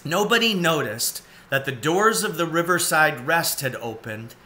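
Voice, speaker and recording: A man's voice: on the high side, expressive, measured pace, pushed and bright. A man in his forties reading a children's story aloud as narrator. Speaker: storybook reader. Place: cluttered indoor room at home, seated.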